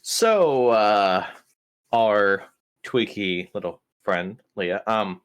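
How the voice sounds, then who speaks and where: A player in a tabletop roleplaying game, improvising casual in-character dialogue in halting, hesitant phrases. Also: low-pitched, clear, resonant tone.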